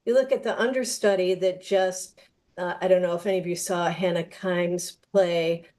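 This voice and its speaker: Woman, mellow timbre, lowish pitch, panel discussion. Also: steady tone, moderate pace.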